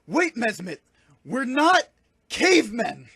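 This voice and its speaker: A man voicing an excitable, overdramatic demon-fighting hero in a told parody story, loud and emphatic. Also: very high-pitched, slow, husky, no room sound, voice pushed up, heavily acted. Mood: frantic. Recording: loud music behind the voice.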